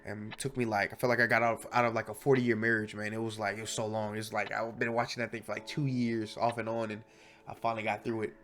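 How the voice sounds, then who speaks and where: A man's voice: fairly low pitch, level tone, fast talker, fairly bright tone. A young man with a stuffy voice from a cold, chatting casually and correcting himself often as he talks about his own learning. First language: English. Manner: chatty, self-correcting, restless. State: sick, stuffy voice. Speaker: language learning vlogger. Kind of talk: casual rambling monologue.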